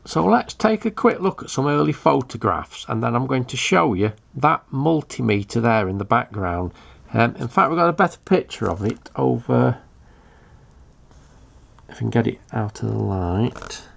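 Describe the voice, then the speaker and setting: A man's voice: medium pitch, sing-song, normal speed, vocal fry, a bit bright. A middle-aged British man giving an unscripted, matter-of-fact show-and-tell as a hobbyist repairer, with some hesitation. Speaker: radio repairer presenting a hobby video.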